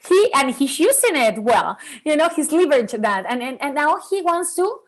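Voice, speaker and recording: A woman in her forties from Venezuela whose first language is Spanish, speaking as a guest about raising bilingual children in an earnest, animated way. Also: high voice, normal speed. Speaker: podcast guest, bilingual parenting expert. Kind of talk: interview conversation.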